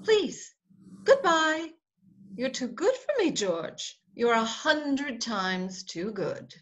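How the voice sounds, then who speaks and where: Woman playing high-voiced, swooping upper-class bride. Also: sing-song, slow pace, a bit bright, exaggerated voice. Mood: hurt, resolute. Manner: theatrical, emotional. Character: proud, agitated upper-class bride, self-mocking. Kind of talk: radio play acting. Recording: noticeable background noise.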